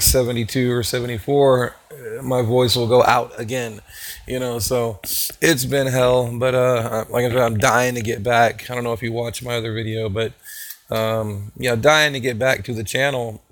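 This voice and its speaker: A man with a hoarse voice from a cold, talking casually to his viewers as a channel host, rambling with many fillers and restarts. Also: mid-range voice, fairly even intonation, moderate pace, a bit bright. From Texas. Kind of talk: casual talk to camera.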